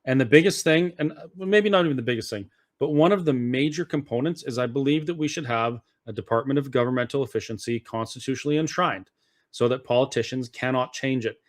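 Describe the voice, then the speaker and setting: A man's voice: medium pitch, quick pace. A man speaking as an interview guest, explaining his views casually and earnestly at some length. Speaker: interview guest, political activist.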